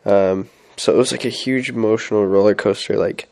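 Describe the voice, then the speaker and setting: A man's voice: fairly low pitch, fairly even intonation, brisk, gravelly, a little mellow. Rambling young man with a head cold. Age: young adult. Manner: rambling, many fillers and restarts. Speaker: missionary. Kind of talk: casual weekly update vlog.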